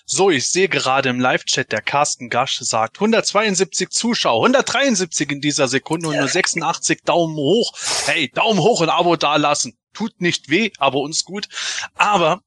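Man, podcast host, bright forceful tone, slightly high pitch, casual moderation. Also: lively intonation, moderate pace, no room sound.

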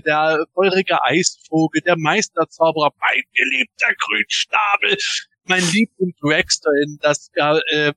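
A man's voice: on the high side, pushed and bright, no room sound, rough recording. A man chatting casually and effusively as a panelist on a fan podcast, speaking with emphasis.

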